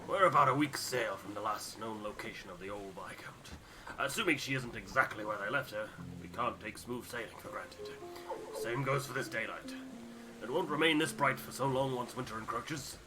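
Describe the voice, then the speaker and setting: Casting: man to play weathered older expedition veteran, mid-pitched, breathy and earnest, savouring each phrase, for a narrative game. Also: moderate pace, music in the background, close to an everyday voice, rough recording. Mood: earnest warning. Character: older weathered male polar expert, wistful awe at the ice. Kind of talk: character voice acting in a game playthrough.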